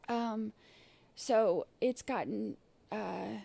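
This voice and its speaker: A woman hosting a casual livestream, her throat scratchy and irritated, clearing it often and rambling with many fillers and restarts. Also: mid-range voice, dark tone, dry recording. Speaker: livestream host.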